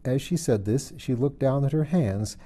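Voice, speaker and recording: A man's voice: medium pitch, normal speed, warm tone, husky. A man reading a children's storybook aloud as scripted narration. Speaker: audiobook reader.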